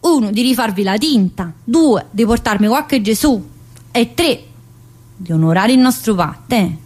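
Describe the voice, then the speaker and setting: A woman's voice: a little high, lively intonation, unhurried, darkish tone. A woman performing a theatrical monologue as a chatty, bossy, needy prisoner, with a colloquial, teasing manner. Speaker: actor in a solo stage monologue.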